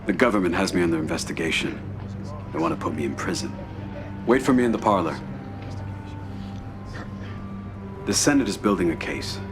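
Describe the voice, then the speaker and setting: Man acting cold, deep-voiced American mafia boss. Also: music in the background, close to an everyday voice. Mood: grave. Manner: measured, terse, authoritative. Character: cold, commanding middle-aged male mafia boss. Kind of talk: video game cutscene acting.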